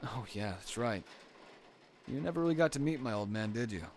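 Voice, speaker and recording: Young boyfriend, played by a male actor, mid-pitched and expressive, reassuring and gently teasing, intimate comfort audio with light ambient noise. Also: a little fast, natural voice. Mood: teasing, reminiscing. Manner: soft reassuring talk with sighs and teasing.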